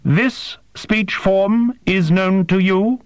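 A man's voice: sits high, extremely slow, clear, resonant tone, dry recording, pitched above the performer's own voice. Man voicing a stiff, formal alien interpreter. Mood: inquiring. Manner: stiff, overly formal phrasing.

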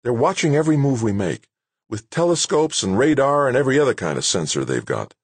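Man voicing a brisk woman boss, raised pitch. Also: very animated, slightly rough, dry recording. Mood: patient, earnest. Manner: plain-spoken, patient but firm. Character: brisk, good-natured, firm woman base director. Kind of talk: acted audiobook dialogue.

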